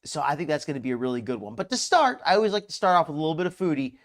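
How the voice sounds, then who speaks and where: A slightly hoarse man hosting a live reaction stream, chatty and mocking, greeting viewers in chat by name. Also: a little high, very animated, racing, soft background music. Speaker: commentary livestream host. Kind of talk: casual live reaction commentary.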